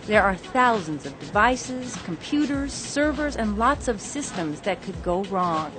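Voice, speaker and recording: Brief: female news reporter, animated pitch, clear measured voice-over, music underneath. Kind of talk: scripted news narration.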